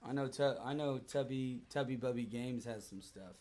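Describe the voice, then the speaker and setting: A man in his thirties with a hoarse voice, chatting casually and profanely with his livestream audience. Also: medium pitch, normal speed, a little mellow. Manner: rambling, profane, joking with chat.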